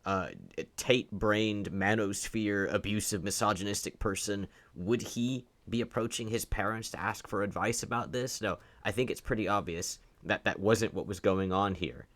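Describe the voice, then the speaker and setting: Guy with a lowish voice doing opinion commentary, sarcastic and argumentative. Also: fairly even intonation, normal speed, few pauses, a bit bright. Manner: argumentative, sarcastic, rhetorical questions. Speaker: online commentator.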